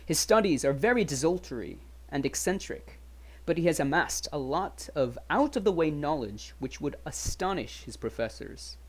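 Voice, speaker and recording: A man voicing a frank, chatty young gentleman who is wary about his friend, with emphatic, politely old-fashioned phrasing in a dramatic storybook reading. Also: on the high side, lively intonation, normal speed, pitched above the performer's own voice. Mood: chatty, candid.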